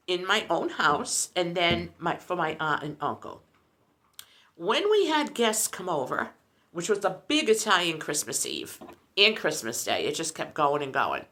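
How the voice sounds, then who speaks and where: Woman in her sixties for a vlog, chatty and rambling, bright animated delivery. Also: lowish voice. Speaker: lifestyle vlogger. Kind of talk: casual chat.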